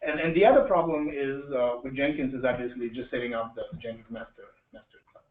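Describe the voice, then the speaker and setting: A man hosts a live meetup in a loose, joking way, often pausing mid-sentence. His voice sits at a middle pitch, heard from a little way off in an echoey room. The sound is thin and narrow, with faint noise behind it. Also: recorded from a distance. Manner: joking, halting, asks the audience questions. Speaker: meetup host introducing speakers. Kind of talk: informal live presentation to an audience.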